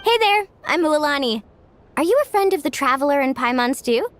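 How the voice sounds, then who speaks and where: A woman's voice: high voice, lively intonation, fairly quick, a little hiss or hum. A woman voicing a cheerful, friendly young woman contestant in a game, upbeat and eager. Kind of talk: game cutscene voice acting. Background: game music.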